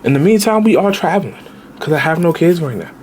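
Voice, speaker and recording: A man in his late twenties, a travel vlogger giving casual, animated budget travel advice straight to viewers, with slang. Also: fairly high pitch, fast, slight background noise. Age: 20s.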